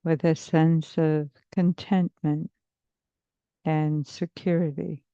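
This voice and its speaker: A woman therapist leading a guided meditation in a soothing, measured, hypnotic manner with long pauses, on slightly muffled audio. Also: deep voice, little pitch movement, warm tone, clean tone, no echo. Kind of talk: slow guided meditation narration.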